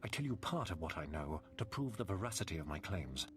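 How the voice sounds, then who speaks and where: An actor playing a proud captive nobleman in a video game, speaking in a measured, formal, haughty manner. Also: deep voice, very animated. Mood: bargaining. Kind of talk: scripted video game dialogue. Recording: clear music behind the voice.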